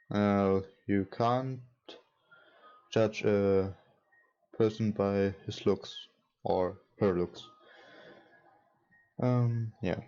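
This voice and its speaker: Male let's play host: unhurried, mellow, stumbling slightly through game text, slightly dull recording. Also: lowish voice. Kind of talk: reading game text aloud with casual commentary. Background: game music.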